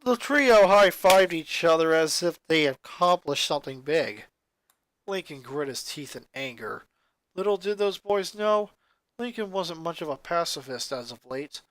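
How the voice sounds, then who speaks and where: Narrator reading a story out loud, expressive, mid pitch, dry sound no room. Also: a little slow, no echo.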